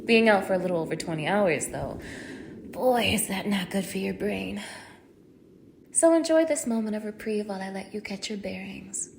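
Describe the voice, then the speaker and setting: A woman performs a cruel young female captor in a dramatic audio roleplay. She speaks at an average pitch, addressing one captive with mocking contempt and open menace. There is clear noise behind her voice. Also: normal speed, lightly acted. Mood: cold, menacing. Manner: mocking, degrading, swinging from hushed menace to shouting.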